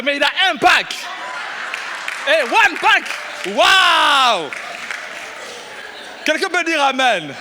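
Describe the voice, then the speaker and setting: Pastor guy from burundi preaching in church, really high bright voice, gets loud and excited. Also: a little hiss or hum. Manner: animated, exclaiming and shouting at peaks. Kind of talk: sermon.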